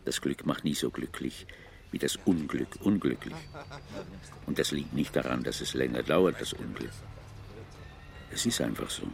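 An actor playing a brooding old man who philosophizes slowly and solemnly in a radio drama. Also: very deep voice, heavily acted. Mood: musing, melancholy. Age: older. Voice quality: gravelly.

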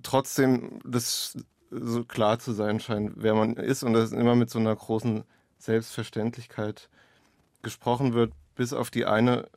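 A man's voice: medium pitch, level tone, measured pace, creaky, darkish tone, dry recording, clean studio sound. A man speaking as an author in an interview, picking his phrasing hesitantly as he explains his work. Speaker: author and playwright. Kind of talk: interview conversation about own work.

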